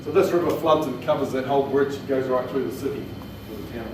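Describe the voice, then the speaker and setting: A man's voice: medium pitch, fast. A man with a New Zealand accent giving an informal technical presentation in a plain-spoken, hesitant way. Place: indoor training room. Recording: echoey room, distant mic, noisy.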